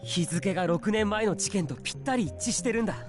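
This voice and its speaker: A male actor playing an earnest, insistent teenage schoolboy sleuth in a Japanese visual novel, speaking deliberately. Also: sits high, over music, lightly acted.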